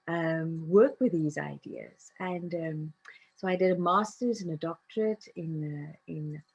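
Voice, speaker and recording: A middle-aged woman from South Africa with a South African accent, a native Afrikaans speaker, talking reflectively as an interview guest and often pausing on fillers. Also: lowish voice. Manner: thoughtful, with frequent fillers and soft laughs. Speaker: guest, narrative coach.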